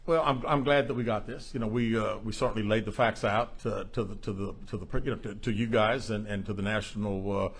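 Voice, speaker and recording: A man speaking as a police chief at a press briefing, answering questions in a halting, measured, plain-spoken way. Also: fairly low pitch, steady tone, breathy edge.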